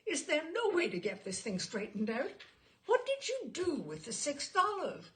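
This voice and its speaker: A woman's voice: high-pitched, huge pitch swings, moderate pace, pitched above the performer's own voice. A woman voicing a blustering, exasperated husband who lectures pompously in a story reading. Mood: indignant. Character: blustering, exasperated husband, stern household disciplinarian.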